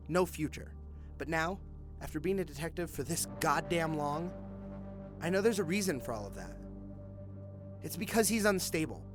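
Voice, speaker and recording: Man acting a hard-nosed, accusing detective. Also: high-pitched. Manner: forceful, sneering, profane, insistent. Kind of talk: audio drama acting.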